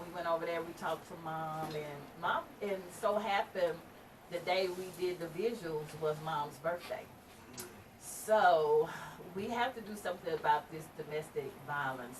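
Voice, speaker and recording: A middle-aged woman hosts her talk radio show in a chatty way, laughing often and slipping into preachy asides. Her voice sits a bit low. She sounds far from the mic, with clear room noise behind her. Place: small studio room with a table of mics.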